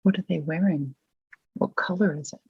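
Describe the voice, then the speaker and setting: A person leading a guided visualization, asking gentle, measured questions with long pauses between them. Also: medium pitch, breathy edge, no room sound, dull audio.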